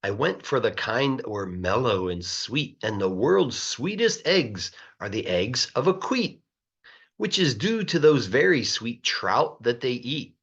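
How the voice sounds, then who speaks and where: A man reading a children's rhyming story aloud in a playful, rhythmic, expressive way, like a teacher. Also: mid-range voice, a little slow, slightly rough, muffled recording. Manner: animated, playful, rhythmic verse delivery.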